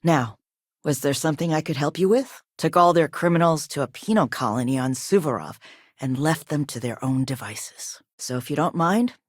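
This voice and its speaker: A woman voicing a composed, businesslike company owner in a video game, with a polite, measured and formal manner. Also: deep voice, lively intonation, dry recording, studio quality. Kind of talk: video game dialogue acting.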